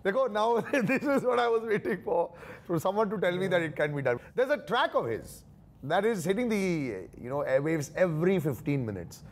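A man's voice: high-pitched, sing-song, normal speed. A man in his thirties hosting an entertainment interview, playful and jokey, switching between English and Hindi. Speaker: interview host. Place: small dark studio set, on a sofa.

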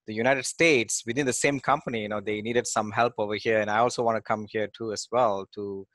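A man in his forties from Malaysia speaks in a fairly low voice over a headset on a video call. The sound is dry and close, with no room around it. He talks hesitantly, with many fillers and restarts, as an interview guest. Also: fairly even intonation, a little fast, a bit bright, dry recording. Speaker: guest, real estate investor. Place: home office with bookshelves.

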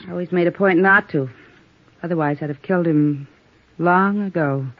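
An actress playing a cool, seductive, world-weary American widow in a 1950s radio drama, languid, guarded and theatrical. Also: female voice, on the low side, normal speed, natural voice. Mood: guarded, bitter. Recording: dull old radio recording.

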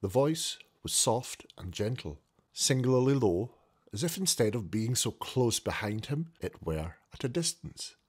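A man narrates a classic tale in a husky voice. His delivery is theatrical, and his pitch swings very widely as he tells the story. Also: very animated, a little slow. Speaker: audiobook reader. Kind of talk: dramatic narration of a classic novel.

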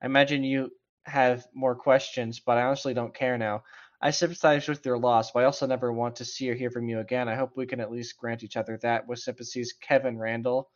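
A man's voice: medium pitch, little pitch movement, fairly quick, highs cut off. A man co-hosting a casual read-aloud of a story, with sarcastic, mocking and profane commentary. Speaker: co-host reading a story aloud with running commentary.

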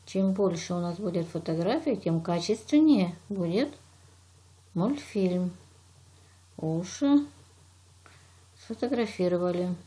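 A woman, a teacher giving step-by-step instructions in deliberate, short, simple phrases. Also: fairly low pitch, animated, dark tone. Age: 60s. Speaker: children's art and animation teacher. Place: indoor room, plain wall.

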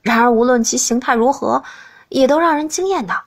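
A woman narrates an audiobook in a scripted, first-person storytelling style. Her voice sits a little higher than average for a woman. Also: a little fast.

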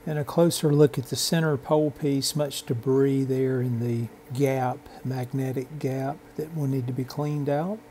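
Guy doing repair tutorial narration, slow and halting, mellow dark voice, bit of background noise. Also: mid-range voice, fairly even intonation. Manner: halting, measured, technical. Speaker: host of a radio repair channel. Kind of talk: instructional repair narration over video.

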